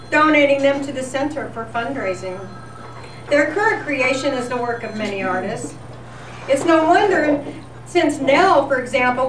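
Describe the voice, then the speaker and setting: High-voiced woman announcer, echoey and distant. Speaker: host of a live radio-themed tribute show. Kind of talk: scripted old-time radio newscast-style announcing. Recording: distant echoey live event, noisy.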